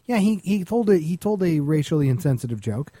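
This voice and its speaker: A man chatting casually as a talk show host, telling anecdotes in an animated way and acting out the dialogue. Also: a little high, brisk, dry recording. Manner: animated retelling with acted-out dialogue. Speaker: talk show host and stand-up comedy club insider. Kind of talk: casual storytelling chat.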